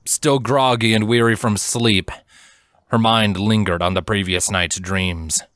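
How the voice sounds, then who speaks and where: A man reading scripted dramatic fiction as a narrator, in a measured, solemn storytelling manner. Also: on the low side, steady tone, fairly bright tone, no room sound, studio quality.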